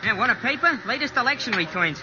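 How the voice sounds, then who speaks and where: Woman as high-voiced cheeky paper kid, very noisy. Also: expressive, fast, lightly acted. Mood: hawking. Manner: hawking cries, pert back-talk. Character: cheeky, wisecracking young street newspaper seller. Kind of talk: 1940s radio acting. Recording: very noisy, dull old radio recording.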